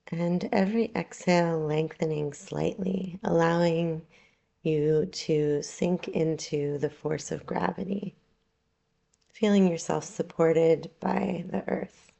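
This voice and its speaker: A woman leading a guided relaxation meditation as a yoga nidra guide, calm, soothing and unhurried, with long pauses. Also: fairly low pitch, gravelly.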